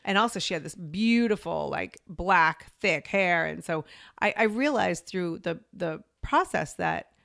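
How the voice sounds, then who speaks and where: A woman's voice: lowish voice, expressive, measured pace, vocal fry, fairly bright tone, no echo, studio quality. A woman in her forties, a podcast and media coach, telling her story in a chatty way full of "you know" and "like". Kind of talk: casual interview storytelling.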